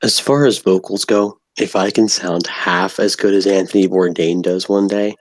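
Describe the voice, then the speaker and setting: A man with a very deep, husky voice who talks haltingly and teases. The recording is dry and close. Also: fairly even intonation, no room sound. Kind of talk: casual chat.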